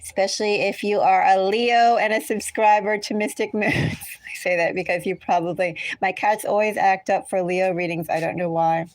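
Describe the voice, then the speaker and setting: A woman's voice: mid-range voice, fairly even intonation, moderate pace, no echo, poor audio. American woman, casual livestream tarot host, dry sound. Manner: rambling, chatty asides. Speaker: tarot reader hosting a livestream. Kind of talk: casual livestream chat with viewers.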